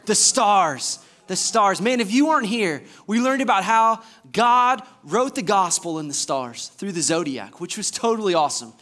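Excited pastor guy in his 30s preaching on a church stage, fairly high voice, keeps asking the crowd stuff. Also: normal speed, few pauses. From Georgia, US. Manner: enthusiastic, gestures widely, asks the congregation questions. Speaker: assistant pastor. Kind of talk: sermon. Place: church stage before a screen.

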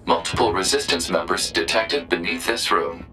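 An emotionless support drone from a video game, with a deep, forceful voice. It reports in clipped, deadpan phrases with no inflection at all. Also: male voice, moderate pace, faint music behind, rough recording. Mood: flat, factual.

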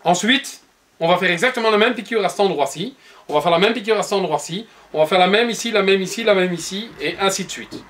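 A man's voice: sits high, quick pace, a bit bright. A man in his forties patiently giving step-by-step upholstery instructions in his workshop showroom, recorded on the camera mic. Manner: patient, explanatory, addresses viewer directly. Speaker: upholsterer demonstrating cushion making.

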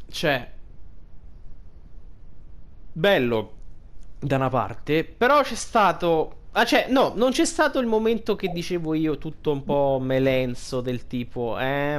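A man's voice: mid-range voice, clean tone. A man giving casual, unscripted gameplay commentary, rambling and thinking aloud in slang. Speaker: gaming video host.

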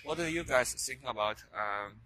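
A man's voice: medium pitch, lively intonation, normal speed, bright, forceful tone, low-quality recording. A young man with a Chinese accent talking in a casual vlog, hesitant and full of fillers and restarts, recorded on a handheld phone while walking outdoors on a campus. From China. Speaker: vlogger. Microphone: handheld phone camera while walking. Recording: outdoor noise behind the voice.

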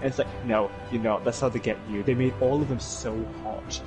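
A man's voice: steady tone, brisk. A young man with a Yorkshire accent, streaming a game with a friend and ranting theatrically. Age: young adult. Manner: theatrical, self-pitying, swings into high whiny voices. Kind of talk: casual gaming chat. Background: game music.

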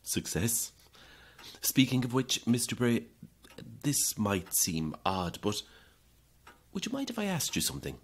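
An actor playing a polite, cautious hotel receptionist in an audio drama, speaking carefully and haltingly. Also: male voice, medium pitch, wildly swooping pitch, breathy edge, heavily acted. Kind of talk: naturalistic audio drama acting.

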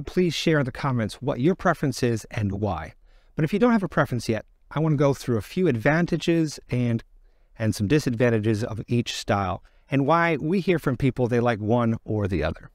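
A man's voice: medium pitch, a little mellow, clean studio sound. The sort of plain-spoken, middle-aged man who walks you through gear in a farm supply how-to video, closely miked with no echo. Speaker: presenter of a beekeeping product guide. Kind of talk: explanatory product demonstration. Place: outdoors in an open gravel yard by fields.

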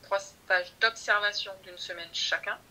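A woman's voice: mid-range voice, steady tone, unhurried, sharp tone. A woman speaking as a podcast guest about her teacher training, thoughtful and hesitant, often restarting her sentences. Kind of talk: conversational interview.

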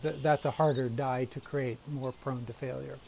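A man's voice: mid-range voice, steady tone, moderate pace, vocal fry, warm tone, slight background noise, poor audio. A middle-aged man whose first language is Dutch, hosting a casual chat and asking earnest questions with frequent restarts. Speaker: host. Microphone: lapel.

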